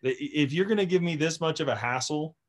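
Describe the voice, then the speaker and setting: A man's voice: a little high, brisk. A man who runs a tree service, chatting casually and telling stories from work. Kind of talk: casual chat, storytelling from work.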